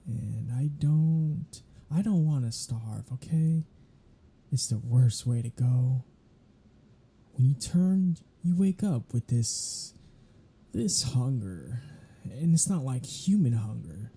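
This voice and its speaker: A performer voicing a starving captive vampire in an intimate ASMR roleplay, with a breathy, husky voice and a wry, halting delivery. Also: medium pitch, dark tone, full character voice. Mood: desperate pleading. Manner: wry teasing, halting, slipping into pleading. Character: captive starving vampire, sardonic, flirtatious, desperate.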